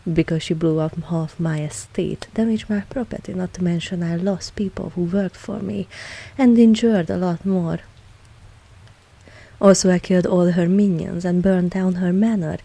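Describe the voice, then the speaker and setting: Ancient vampire mistress, played by a woman: lowish, mellow, composed, wry, fondly commanding, husky, for intimate ASMR roleplay. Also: fairly even intonation. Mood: dryly amused. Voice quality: husky, low, breathy at times. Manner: slow, measured, softly spoken.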